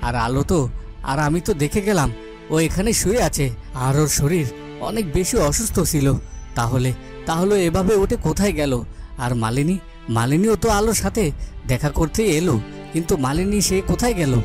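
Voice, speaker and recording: A devoted fairy-tale king from a cartoon, acted by a man. His voice sits a little high, fretting and repeating himself with melodrama. Music plays clearly behind him. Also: animated, fairly quick, lightly acted. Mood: worried. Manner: fretful, repetitive, dramatic.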